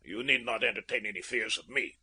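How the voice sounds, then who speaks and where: A man reading an audiobook, voicing a polite, ironic army lieutenant in a measured, slightly lower voice. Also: pushed and bright, husky, exaggerated voice. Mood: courteous.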